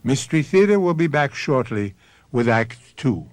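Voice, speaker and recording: A man's voice: mid-range voice, sing-song, normal speed. A man narrating a radio mystery as host, urbane, sardonic and theatrical in his delivery. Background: music.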